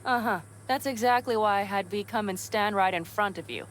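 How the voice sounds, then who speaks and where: A woman playing a young nomad in a game drama. She is defiant, blunt and strong-willed, with a voice of medium pitch for a woman. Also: a little fast, a bit bright, slight background noise, close to an everyday voice. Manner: blunt, determined.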